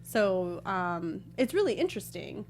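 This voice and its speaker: Woman co-host with a mid-pitched voice. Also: sing-song, measured pace, gravelly. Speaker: talk show co-host. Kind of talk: casual chat about video games.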